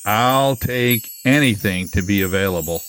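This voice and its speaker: Puppet musical part: earnest male book character, played by a man, on the lower side. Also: lively intonation, measured pace, fairly bright tone, clear, resonant tone. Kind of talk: stage puppet musical acting.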